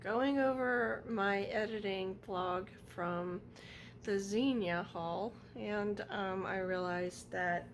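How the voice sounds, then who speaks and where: A woman vlogger losing her voice, talking slowly and reflectively with many pauses. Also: mid-range voice, a little hiss or hum. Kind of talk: casual vlog chat.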